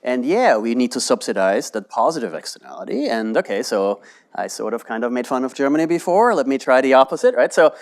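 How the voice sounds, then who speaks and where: A man leads a panel in front of an audience, speaking at a middle pitch. His voice rises and falls a lot, and he often tosses in rhetorical questions. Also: fairly quick. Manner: animated, asks rhetorical questions, often adds "right?". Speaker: economics lecturer, panel moderator. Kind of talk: lively panel discussion. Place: lecture hall with audience.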